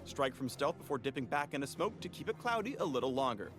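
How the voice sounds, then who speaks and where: A man's voice: medium pitch, big pitch swings, quick pace, fairly bright tone, faint music behind. A man reading scripted game tutorial narration in a polished, explanatory promotional delivery. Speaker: narrator of a champion spotlight.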